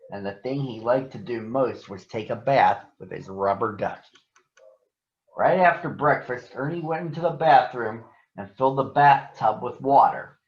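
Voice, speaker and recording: A man addressing children as a picture book reader, speaking in deliberate phrases, in a read-aloud storytelling style. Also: mid-range voice, lo-fi sound.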